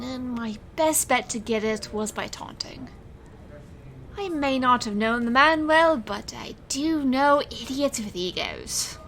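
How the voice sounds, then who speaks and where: A sardonic young woman magician played by an actress recounts her story in an audio drama. She speaks slowly in a higher-set voice, controlled and dryly defiant, with some rumbling noise behind her. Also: a bit bright.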